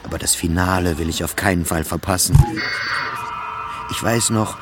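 A man's voice: low-pitched, steady tone, moderate pace, close to an everyday voice. A man playing a depressed, anxious, self-loathing psychiatric patient who narrates in a confessional radio drama. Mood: weary, dazed. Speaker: narrator of an autobiographical radio play. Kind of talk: scripted first-person radio drama narration. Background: music behind the voice.

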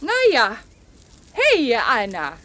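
An actress playing a busy, gently teasing housewife aunt in a children's radio play. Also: female voice, fairly high pitch, huge pitch swings, takes it slowly, a little hiss or hum, heavily acted. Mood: dry, brisk.

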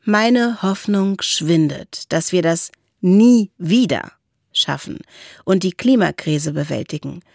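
A woman's voice: lowish voice, vocal fry, no room sound, clean studio sound. A woman co-hosts a discussion podcast, reading out listener mail and talking it over thoughtfully. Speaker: podcast co-host.

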